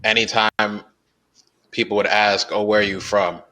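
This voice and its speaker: An American man, a guest musician, talking about his background in a relaxed, casual interview with lots of fillers. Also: on the low side, measured pace, poor audio. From United States. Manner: relaxed, explains at length with many fillers.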